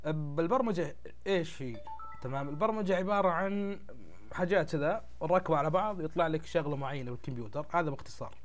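A young man speaks Gulf Arabic at a very rapid pace, in a fairly high voice. His throat sounds tired and stuffed up as he explains things informally, like a host talking straight to his viewers. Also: soft background music. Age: young adult. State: congested, changed voice from a tired throat. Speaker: YouTube tech channel host. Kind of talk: casual explainer talk to camera. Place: small indoor room at a desk, webcam recording.

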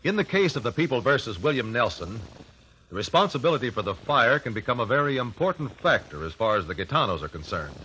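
An actor playing a composed gentleman lawyer, measured and formal, in a 1950s radio drama. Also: mid-range voice. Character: probing, composed gentleman lawyer questioning witnesses. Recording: faintly noisy old radio recording.

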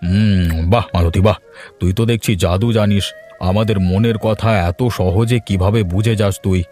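A man's voice: lowish voice, steady tone, moderate pace, dark tone, soft background music, lightly acted. A man voicing a grumbling older head of a village household in a cartoon. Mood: settled. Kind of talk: cartoon voice acting.

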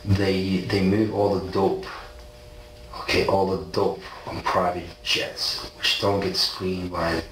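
Distant, low-voiced man explaining himself. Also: flat delivery, slow, a bit bright. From South Africa and Argentina. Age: 40s. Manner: insistent, often checks with "okay?". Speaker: suspect being interrogated. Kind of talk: police interrogation answers. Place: small bare indoor interview room. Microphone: distant fixed room camera microphone. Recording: roomy, distant, noisy surveillance recording.

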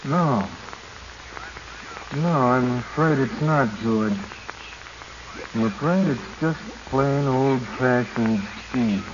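An actor playing a hesitant, crestfallen treasure diver who speaks haltingly in a 1940s radio drama. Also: male voice, medium pitch. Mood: hesitant, dejected. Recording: muffled, noisy old radio recording.

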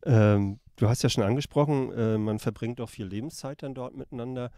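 A man's voice: mid-range voice, brisk, mellow, dry recording, studio quality. A man interviewing an actor, asking short questions and prompting with brief acknowledgements.

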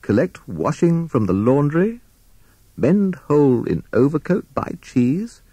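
A pompous owl lawyer from a children's storybook, played by a man. He speaks in self-important legal oratory, with a husky edge to the voice. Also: fairly high pitch, sing-song, measured pace, mellow, no room sound, pitched above the performer's own voice, full character voice.

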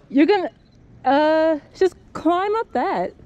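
Excitable young woman vlogger exploring. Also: high-pitched, slow, lo-fi sound. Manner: excitable, laughs often. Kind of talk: casual chat while exploring. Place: outdoors at an old fort.

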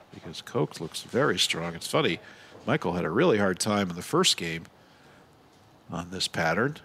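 A man doing live bowling commentary, giving measured analysis with excited calls on shots. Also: on the low side, slight background noise. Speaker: sports commentator.

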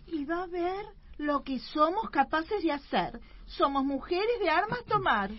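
Outraged Argentine tenement wife acted by a woman, theatrical, very high voice, big swings, comic radio play. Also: very animated, measured pace, highs cut off, heavily acted. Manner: exclamatory, theatrical.

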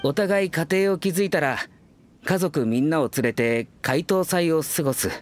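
A man's voice: on the high side, unhurried, lightly acted. A man playing a wistful, humble working man in a Japanese anime game, speaking earnestly and expressively. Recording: studio voice over background music.

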